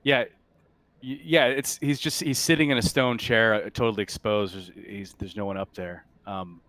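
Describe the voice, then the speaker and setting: A man narrating a tabletop roleplaying game as game master in a halting, conversational way. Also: on the low side, fairly even intonation, fairly bright tone, no room sound.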